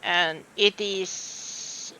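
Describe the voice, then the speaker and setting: A man's voice: sits high, steady tone, very slow, bright, forceful tone, clean tone, poor audio. A man with a Finnish accent, thinking aloud in a hesitant way as he comments on a game. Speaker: streamer. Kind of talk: casual gameplay commentary.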